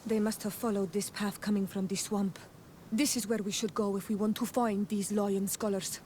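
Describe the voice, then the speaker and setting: A woman's voice: mid-range voice, level tone, moderate pace, mellow, a little hiss or hum, lightly acted. A woman playing a proud, fiercely protective young native clanswoman in a video game, blunt and accusing, with solemn diction. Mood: scornful, grave. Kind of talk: video game cutscene acting.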